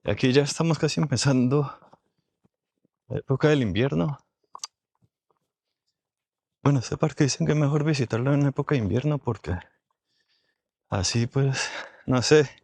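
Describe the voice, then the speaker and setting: A man with a hoarse voice vlogging casually outdoors in a desert park, chatting and thinking aloud to his viewers. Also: mid-range voice, moderate pace, pauses often, darkish tone, no room sound. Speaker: travel vlogger.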